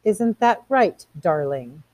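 A woman's voice: medium pitch, animated, takes it slowly, mellow, no echo, pitched above the performer's own voice. A woman voicing a nervous, fussy housewife from a novel, quavery and coaxing with forced cheer. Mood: nervous cover-up.

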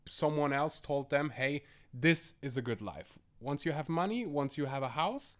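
A man's voice: fairly high pitch, expressive, normal speed. A man from Germany, a podcast guest talking casually and hesitantly from a bedroom at home, with many restarts. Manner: hesitant, full of restarts and laughs. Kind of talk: casual conversational interview.

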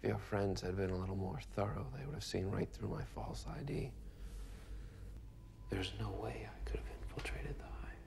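An actor playing an earnest male infiltrator in a film drama, speaking in a hushed, serious way. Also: on the low side, moderate pace, gravelly, noisy background. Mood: grave, hushed. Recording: movie soundtrack played in background.

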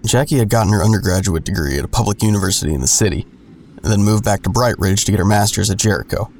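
A man's voice: fairly low pitch, fast talker, creaky, darkish tone, slight background noise. An actor playing a man who narrates his own troubled story in a first-person audio drama. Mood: descriptive. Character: adult man recounting his troubled past. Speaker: narrator and main character.

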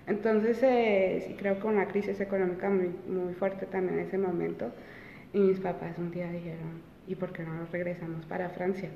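A woman's voice: fairly low pitch, little pitch movement, normal speed, creaky, a little hiss or hum. A woman in her twenties, an interview guest telling her own story casually, with many fillers and restarts. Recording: roomy, reverberant, dull sound.

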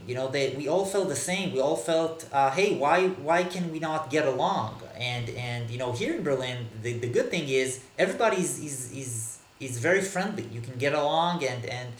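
A man from Israel, a native Hebrew speaker, answering interview questions in a halting way with many fillers and repeated words. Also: on the high side, animated, echoey room, slight background noise. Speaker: podcast guest, author. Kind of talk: casual interview talk. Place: Berlin.

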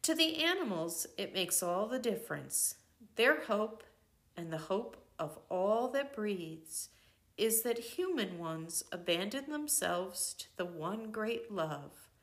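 An older woman reading a devotional book aloud in a gentle, measured way, pausing between phrases. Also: medium pitch, animated. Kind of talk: slow reflective book reading.

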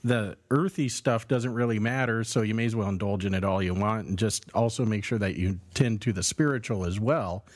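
A man's voice: lowish voice, brisk, hardly any pauses. A man co-hosting a theology podcast as a pastor, explaining ideas in a casual, conversational and slightly wry way. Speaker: podcast co-host, Lutheran pastor.

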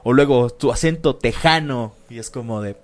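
A man's voice: mid-range voice, normal speed. A man co-hosting a casual chat, slangy and full of swearing and fillers.

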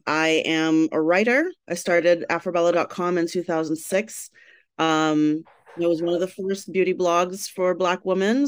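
Woman from Trinidad, podcast guest: open, lively storyteller with a crisp, clear voice. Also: lowish voice, no room sound, clean studio sound. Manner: animated, laughs often, candid storytelling. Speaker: podcast guest, writer and blogger. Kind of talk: casual interview chat.

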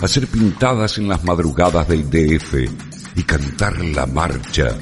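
A male announcer reading a scripted program promo with a dramatic, deliberate delivery. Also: a bass voice. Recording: over background music.

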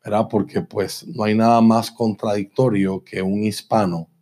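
A male preacher giving a church sermon, slowing down to stress points and often asking "¿verdad?". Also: fairly low pitch, flat delivery, dark tone. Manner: emphatic, slows and repeats for stress, asks "¿verdad?". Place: church sanctuary.

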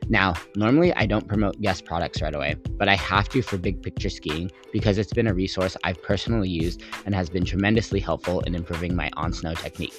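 A man hosting a podcast, giving an upbeat intro and asking relaxed, curious questions. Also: sits low. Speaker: podcast host.

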